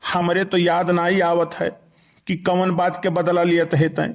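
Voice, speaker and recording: A man's voice: high voice, monotone, a little slow. A man voicing an aggrieved, hard-drinking villager with an Awadhi accent in a cartoon comedy, complaining and protesting. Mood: puzzled.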